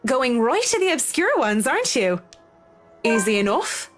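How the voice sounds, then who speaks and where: A woman's voice: fairly high pitch, very animated, a little slow, soft background music. An actress voicing a cynical, bookish young female architect in a video game, with a dry, sarcastic manner. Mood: smug challenge.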